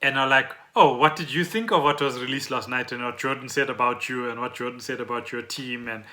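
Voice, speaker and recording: A South African man chats as a podcast co-host. His voice is strikingly bright and forceful, at an ordinary male pitch. He explains things informally, as if to a friend. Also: brisk. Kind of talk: casual chat about trending news.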